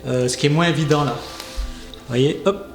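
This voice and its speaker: A man's voice: fairly high pitch, a little slow. A man co-presenting an unboxing video, commenting on the items in casual chat. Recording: roomy echo, music behind. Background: background music.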